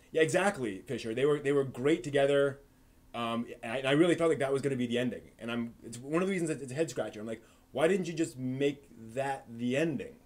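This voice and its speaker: A man's voice: mid-range voice, darkish tone. Rambling man hosting a live stream. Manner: rambling, halting, many restarts and fillers. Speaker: host of an anime live stream. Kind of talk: casual discussion answering chat.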